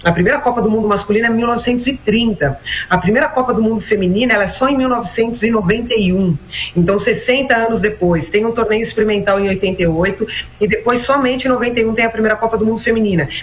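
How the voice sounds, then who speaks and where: A woman in her forties from Brazil, a former national team player answering interview questions in a measured, reflective way with many small fillers. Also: fairly high pitch, a little hiss or hum, rough recording. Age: 40s. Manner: measured, frequent "né" and "então" fillers.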